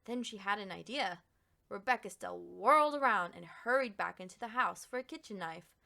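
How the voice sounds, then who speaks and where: Bright, animated woman reading a picture book. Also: medium pitch, fairly quick, gravelly. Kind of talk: expressive children's read-aloud narration.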